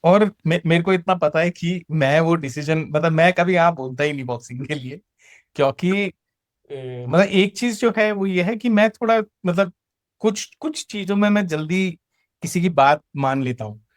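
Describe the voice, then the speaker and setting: A man's voice: fairly high pitch, moderate pace, dry recording, clean studio sound. A man with an Indian accent telling personal stories in casual chat, acting out dialogue and mixing Hindi with English. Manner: animated retelling with acted-out dialogue, switching between Hindi and English. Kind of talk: casual conversational storytelling.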